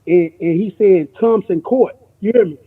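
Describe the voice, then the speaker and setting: Music producer guest: man, high raspy voice, slangy storyteller, dull lo-fi sound. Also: normal speed. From born in New York, grew up in Memphis. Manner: animated, slangy, repeats himself for emphasis. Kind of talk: casual storytelling chat.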